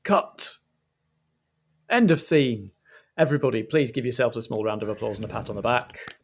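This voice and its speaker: Man as a grandiose, deadpan director-narrator. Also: mid-range voice, moderate pace, breathy edge. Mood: self-satisfied, moved. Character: self-important, sentimental northern male director-presenter. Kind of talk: comic scene narration.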